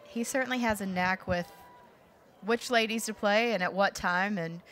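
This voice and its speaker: A woman giving color commentary on a televised softball game in a conversational, analytical way. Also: mid-range voice, moderate pace. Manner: conversational analysis with casual fillers.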